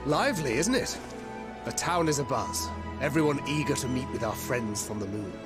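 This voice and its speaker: Man playing a wry, courteous game adventurer, medium pitch. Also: lively intonation, moderate pace, natural voice. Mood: amused, courteous. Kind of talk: scripted video game acting. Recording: music behind the voice.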